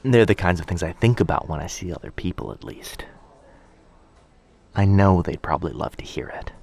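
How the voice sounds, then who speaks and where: A man playing a shy, sweet, anxious young stranger in a boyfriend ASMR roleplay, speaking hesitantly, softly and earnestly. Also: low voice, normal speed, gravelly. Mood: self-doubting. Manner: hesitant, soft-spoken, earnest, self-doubting.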